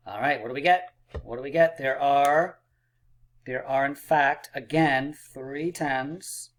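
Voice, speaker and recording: A man teaching a math lesson for children, upbeat and encouraging, a little hoarse and clearing his throat. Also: mid-range voice, a bit bright. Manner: upbeat and encouraging, playful drum rolls and counting aloud. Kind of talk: math lesson video for kids.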